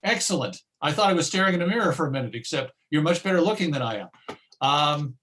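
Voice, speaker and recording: A man speaking as a radio host giving an informal online presentation, chatty and self-deprecating, with a halting delivery. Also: a little high, lively intonation, a bit bright. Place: remote video presentation.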